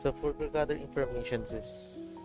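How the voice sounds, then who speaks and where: A man from the Philippines chatting casually and haltingly as he streams a game. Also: mid-range voice, steady tone. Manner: halting, offhand. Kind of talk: casual live-stream chat. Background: game music.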